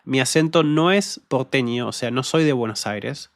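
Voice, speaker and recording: A young man from Patagonia with a neutral Rioplatense Argentine accent and a uvular R that sounds French. He hosts a podcast, reading listener comments in a relaxed, hesitant way full of fillers. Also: mid-range voice, no room sound. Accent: neutral Rioplatense Argentine Spanish, uvular French-like R. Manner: relaxed and hesitant, many fillers, speaks slowly for learners. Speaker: podcast host and Spanish teacher.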